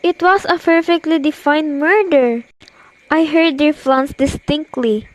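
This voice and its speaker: A woman's voice: high-pitched, measured pace, rough recording. A young woman playing an imperious, nervous married lady in an amateur radio play, demanding and impatient. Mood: agitated, pleading. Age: teenager to young adult. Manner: demanding, agitated, impatient, haughty. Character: imperious, nervous, indignant married woman reporting an overheard murder plot.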